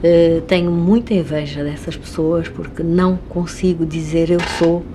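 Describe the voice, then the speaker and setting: A woman's voice: on the low side, steady tone, noisy background. An older woman from Angola with an Angolan Portuguese accent, speaking reflectively and hesitantly in a documentary interview. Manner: slow, hesitant, thoughtful with many pauses.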